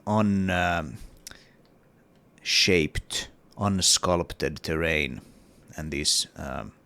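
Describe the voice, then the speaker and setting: A man's voice: rumbling low, extremely slow, gravelly, bright, forceful tone, clean tone. A man with a cold and a partly lost voice, talking casually through his game level in a hesitant devlog with many fillers. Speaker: indie game developer.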